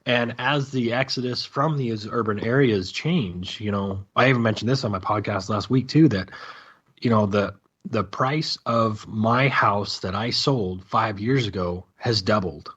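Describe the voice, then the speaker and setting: A man speaking as a podcast guest in casual, opinionated discussion, thinking aloud in a halting way. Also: fairly low pitch, fairly bright tone, breathy edge. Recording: dull lo-fi call audio.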